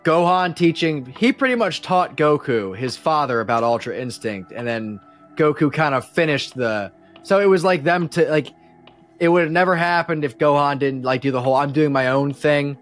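A man's voice: a little high, moderate pace, soft background music. A man chatting casually as a podcast guest, talking emphatically about things he is a fan of and swearing freely. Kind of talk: animated casual fan chat.

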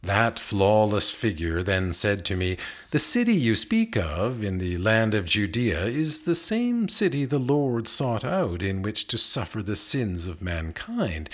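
A man reading a narrative poem aloud in a literary recitation, with measured, deliberate phrasing and expressive emphasis. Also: fairly low pitch. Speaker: reader of poetry.

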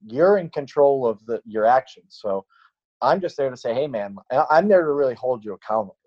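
A man's voice: medium pitch, animated, brisk, dull audio. A man in his late twenties, a fitness trainer, chatting casually on a podcast and rambling with many fillers and restarts. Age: late 20s.

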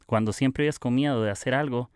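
A man's voice: medium pitch, brisk, clear, resonant tone, no room sound, studio quality. A young man with a Costa Rican accent hosting his own podcast, talking in a casual, reflective and confessional way. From Costa Rica. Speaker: podcast host.

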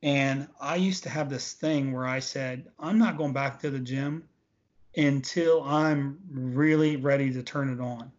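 A man's voice: medium pitch, fairly even intonation, measured pace. Guy in his late 40s chatting casually in an interview, stresses his points, muffled lo-fi audio. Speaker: interview guest, veteran bodybuilder. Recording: dull, lo-fi audio.

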